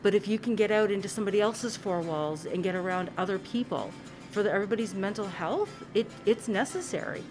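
A woman with a medium-pitched voice gives a casual interview as a cinema staffer. Faint music is mixed in with her conversational talk. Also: a little fast.

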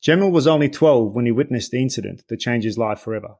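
A man from Bosnia and Herzegovina, a native Bosnian speaker, hosting a podcast interview; he reads a measured introduction and then speaks with many hesitations. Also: mid-range voice, darkish tone. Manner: measured, hesitant with many fillers when unscripted. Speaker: podcast host. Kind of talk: scripted guest introduction and interview.

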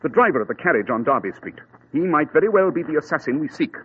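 An actor playing a brisk, cool-headed male detective in a crisp, clipped, matter-of-fact manner, in 1940s radio acting. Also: fairly high pitch, big pitch swings. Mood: calm. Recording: tinny lo-fi old radio broadcast with faint hiss.

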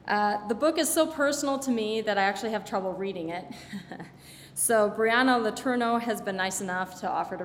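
A woman author informally introducing her own book. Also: mid-range voice. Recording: echoey hall, background ambient noise.

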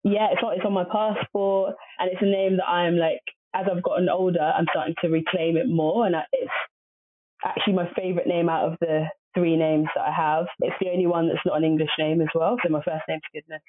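A woman's voice: lowish voice, little pitch movement, a little fast, slight background noise. A woman guest on a podcast, chatting casually and hesitantly, with many fillers. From family from southern Nigeria. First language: Obolo. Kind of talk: casual interview chat. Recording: dry, phone-like lo-fi audio.

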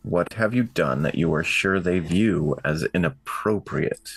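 A man playing a tabletop game, describing actions casually in a deliberate, halting way. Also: sits low, husky, no room sound.

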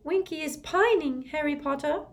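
A woman voicing a timid, loyal male house elf with a squeaky, earnest voice. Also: normal speed.

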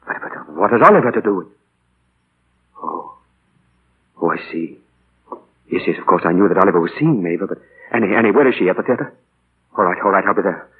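An actor playing an anguished, tender middle-aged playwright in a 1940s radio drama, speaking haltingly and earnestly. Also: male voice, deep voice, animated, phone-quality sound. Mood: shocked. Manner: halting, earnest, repeats words in distress. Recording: husky-sounding old 1940 radio broadcast.

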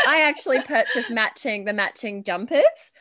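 A woman's voice: fairly high pitch, expressive, unhurried, sharp tone. A woman hosting an interview podcast, encouraging her guest and laughing often. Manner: giggly, encouraging, with frequent laughter. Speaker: podcast host.

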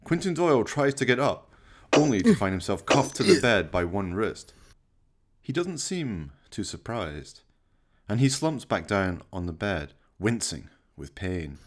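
Man playing a sinister, deep-voiced horror storyteller. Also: big pitch swings. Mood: ominous, wry. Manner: slow, menacing, mock-polite, ornate and dramatic. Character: sinister, courtly horror-tale storyteller by a fireside, darkly sardonic. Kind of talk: theatrical horror radio play narration.

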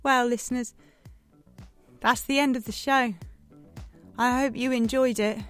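A woman playing a lonely, desperate late-night radio DJ in an audio drama, speaking in a halting, pleading way. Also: on the high side, steady tone, soft background music, natural voice. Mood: weary, wry.

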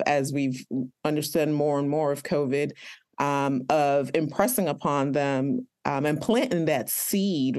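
A woman pharmacist and teacher answering interview questions in a measured way, often pausing on "um". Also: deep voice, a little mellow. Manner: measured, many "um" pauses. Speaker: pharmacist and educator.

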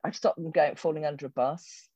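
A woman's voice: low-pitched, fairly even intonation, fairly quick, mellow, no echo. A woman in her sixties, a theatre director and actor, giving thoughtful, wry answers in an interview, often restarting her sentences. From England. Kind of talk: reflective conversational answers. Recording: dull lo-fi dry recording.

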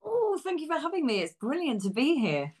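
A woman's voice: high voice, huge pitch swings, fairly quick, darkish tone. A woman with an English accent chatting casually as an interview guest, effusive and emphatic, often hesitating mid-sentence. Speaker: interview guest, theatre practitioner. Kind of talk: casual chat.

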